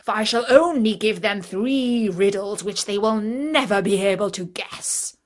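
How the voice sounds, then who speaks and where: A woman voicing a scornful, boastful male dragon with a slow, gloating, drawn-out delivery in a fairytale. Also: medium pitch, close to an everyday voice. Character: scornful, boastful male dragon who loves cunning riddles.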